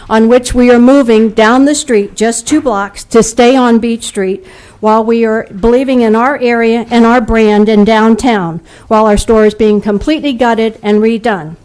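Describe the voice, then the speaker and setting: A woman who owns a local shop, reading a prepared statement to a city board in a steady, formal way. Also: fairly high pitch, moderate pace, rough recording. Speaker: local business owner speaking at public comment. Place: city commission meeting room.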